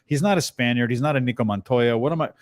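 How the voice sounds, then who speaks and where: Middle-aged guy w a bass-baritone voice, voice actor guest, animated storyteller, slips into quick voices. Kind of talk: casual interview chat.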